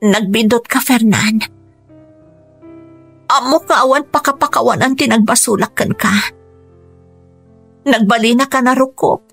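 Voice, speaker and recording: A woman's voice: fairly high pitch, a little slow, vocal fry, long pauses, fairly bright tone, faint music behind, exaggerated voice. An actress with a Filipino Ilocano accent playing an earnest woman in a rural family radio drama. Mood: regretful, plaintive.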